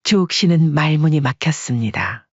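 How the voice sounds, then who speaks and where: A man narrating a family story in calm, measured audiobook style. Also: on the high side, husky, no room sound, muffled recording.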